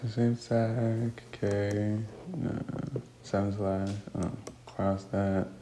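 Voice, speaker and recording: A man in casual gaming chat as co-player, making short, low-key remarks. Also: little pitch movement, slow, warm tone. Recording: echoey room, faint noise.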